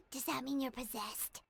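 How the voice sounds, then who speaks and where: A woman voicing a tiny, excitable childlike fairy companion in a video game, chatty and dramatic. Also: high voice, slight background noise, full character voice. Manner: chatty, third-person, reacts dramatically.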